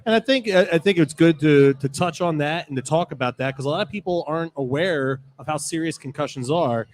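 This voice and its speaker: A man's voice: on the high side, fairly quick. A man on a live sports talk panel, talking in an explanatory way.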